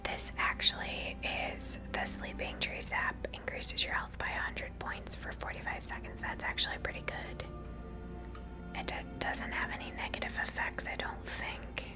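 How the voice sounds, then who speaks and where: A woman's voice: moderate pace, music in the background. A woman whispering breathily close to the mic, casually thinking aloud as she plays a game for ASMR. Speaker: gamer host. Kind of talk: ASMR whispered gameplay commentary.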